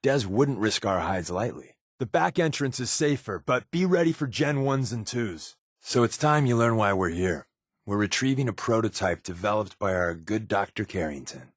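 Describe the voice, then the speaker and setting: An actor playing a wisecracking undercover rebel spy in a video game, talking in a wry, sardonic, slangy way. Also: male voice, fairly low pitch, husky, no room sound, studio quality. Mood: persuasive, breezy. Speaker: companion character in a post-apocalyptic video game. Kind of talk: conversational video game voice acting.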